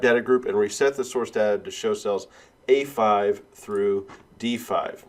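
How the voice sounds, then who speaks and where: A male instructor with a cold and sniffles, talking step by step through a software tutorial with frequent "uh" and "all right.". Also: medium pitch. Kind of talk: screen-recorded software tutorial.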